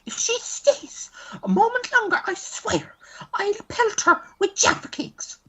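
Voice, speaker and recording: A woman playing a jealous, sharp-tongued lover in a radio play, indignant and melodramatic. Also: very high voice, lively intonation, measured pace, full character voice, poor audio. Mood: furious, accusing.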